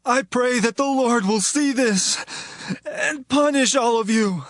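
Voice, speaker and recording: A stern prophet of ancient Judah in an audio drama. The voice is high and dry, declamatory and full of reproach. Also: male voice, slow pace, a bit bright, no room sound, full character voice. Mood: anguished, condemning. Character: priest's son turned stern, accusing prophet. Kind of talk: audio Bible drama acting.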